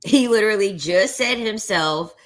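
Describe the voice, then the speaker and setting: A woman with a bright, edgy voice runs commentary on a clip she is reacting to. She is blunt and emphatic, drives her points home with repetition and the odd curse, and speaks at an ordinary pitch. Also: expressive, moderate pace. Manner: blunt and emphatic, with swearing and repeated phrases. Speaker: content creator reacting to a debate clip.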